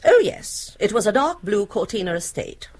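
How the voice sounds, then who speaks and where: A woman's voice: medium pitch, sing-song, normal speed, a little mellow, breathy edge. A woman acting a prim, fussy middle-aged housewife in a radio drama, speaking formally and precisely. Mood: recollecting.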